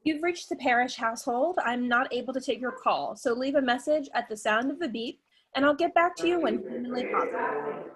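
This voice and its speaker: A woman playing a formal household matriarch recording a phone greeting for a web drama. Her voice is high and husky. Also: a little fast, fairly bright tone. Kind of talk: dramatic table read of a web series script.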